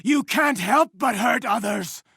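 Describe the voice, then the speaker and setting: An actor playing a megalomaniac mutated scientist villain in a video game, menacing, theatrical and contemptuous. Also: male voice, very high-pitched, measured pace, creaky, bright, forceful tone, breathy edge, no room sound, studio quality, heavily acted. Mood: furious. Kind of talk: dramatic video game voice acting.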